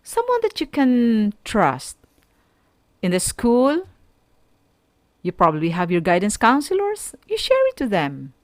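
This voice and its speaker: Female educator, Filipino accent, unhurried and emphatic, with animated melody, for an instructional radio segment. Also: a little high, no room sound, clean studio sound. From Philippines. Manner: emphatic, deliberate, repeats key phrases. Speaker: speaker giving a mental health lesson on a school radio programme. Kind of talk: instructional talk with examples and tips.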